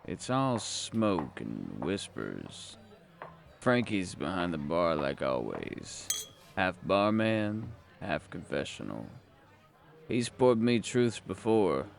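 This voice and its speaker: Guy doing a hard-boiled noir detective, gravelly, world weary, drags out words but swings his pitch around. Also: very animated, heavily acted. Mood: brooding. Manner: brooding, deliberate, wry. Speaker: narrator and lead detective. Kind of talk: noir audio drama narration. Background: music.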